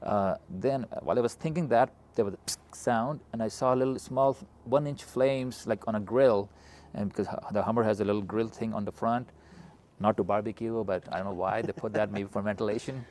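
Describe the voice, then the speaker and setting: A middle-aged man with a Pakistani accent, interviewing and telling stories in a conversational, animated way. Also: lowish voice, a little fast. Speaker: interviewer, physician hosting a talk. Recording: noticeable steady background noise.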